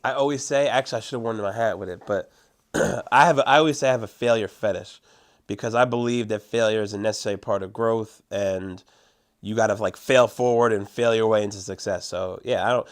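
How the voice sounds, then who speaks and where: A man's voice: fairly low pitch, fairly even intonation. A young man talking loosely and casually as a podcast guest, with plenty of swearing. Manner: fast, loose and profane. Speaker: podcast guest, usually behind the camera.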